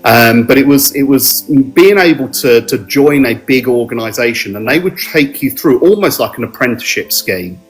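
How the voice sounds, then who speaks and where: A middle-aged man from South London with a South London accent, talking modestly in an interview and pausing often with ums and restarts. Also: mid-range voice, animated, soft background music, low-quality recording. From South London, England. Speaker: mortgage adviser guest. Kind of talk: relaxed podcast interview.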